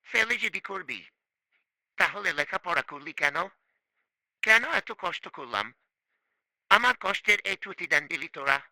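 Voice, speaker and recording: A man's voice: sits high, animated, unhurried, pauses often, bright, forceful tone, no echo, exaggerated voice. A man playing a stern, proud, middle-aged father in a cartoon, with an emphatic, complaining delivery. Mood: angry, reproachful. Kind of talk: cartoon voice acting.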